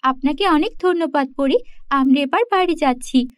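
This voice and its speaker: A woman's voice: sits high, moderate pace, no echo, studio quality, lightly acted. A woman voicing an earnest, principled young village girl in a cartoon fairy tale. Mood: earnest, proud.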